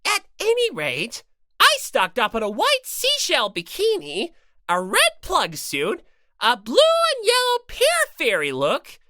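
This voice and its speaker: Mischievous bear villain mascot, smug, mock-sweet, theatrical, with a lively swooping delivery for an audiobook. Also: female voice, sits high, takes it slowly, no room sound, clean studio sound, voice pushed up, heavily acted. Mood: showy, scheming. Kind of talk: dramatized audiobook character reading.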